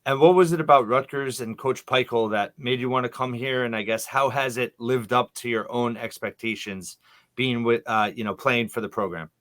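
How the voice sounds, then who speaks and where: A man hosting a sports podcast, asking questions in a conversational, measured and somewhat halting way. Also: mid-range voice, fairly even intonation, fairly bright tone. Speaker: podcast host.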